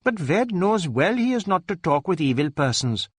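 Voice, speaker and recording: An Indian wife and mother, played by a male narrator, calmly answering back with gentle reproof. The pitch is lifted well up and moves freely, in dry-recorded storybook dialogue. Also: normal speed, slightly rough, dry recording, exaggerated voice. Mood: protesting. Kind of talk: acted dialogue in a storybook reading.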